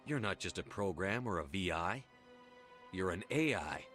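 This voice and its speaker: A man's voice: low voice, expressive, moderate pace, fairly bright tone, faint music behind. A man playing a firm, probing human hero in a sci-fi video game, speaking directly and questioningly.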